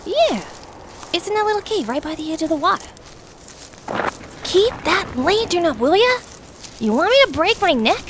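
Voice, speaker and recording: A woman's voice: extremely high pitch, big pitch swings, moderate pace, long pauses. An actress playing a hard-bitten, bossy, brash female crook with slangy speech in an old-time radio drama. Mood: bossy irritated. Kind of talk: 1940s radio drama acting. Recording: clear background noise.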